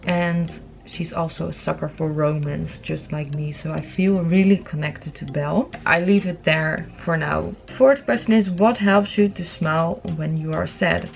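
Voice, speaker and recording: A woman's voice: low-pitched, steady tone, a little slow, gravelly, hardly any pauses. A young adult woman, chatting informally as she answers viewer questions in a casual vlog. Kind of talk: casual Q&A chat to camera. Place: room at home.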